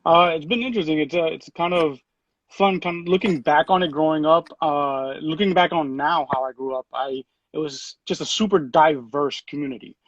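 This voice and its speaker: A man in his thirties, a city program director, answering interview questions in a relaxed way with lots of fillers and restarts. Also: on the high side, normal speed, no echo, lo-fi sound. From Puerto Rico.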